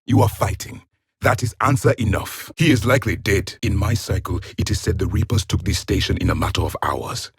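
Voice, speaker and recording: A man's voice: low-pitched, lively intonation, brisk, slightly rough, no echo, clean studio sound, full character voice. A man playing a stern, bitter ancient alien warrior in a video game, speaking in a formal, clipped, disdainful way. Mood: grave, disdainful. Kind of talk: video game combat and dialogue lines.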